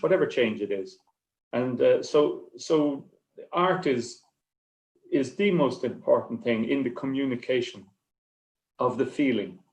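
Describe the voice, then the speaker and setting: Male writer on a panel, halting and repetitive delivery, rambling answers, echoey recording. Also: medium pitch, darkish tone. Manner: halting, stammering, repeats words and restarts. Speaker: short story writer on a festival panel. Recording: echoey, roomy sound.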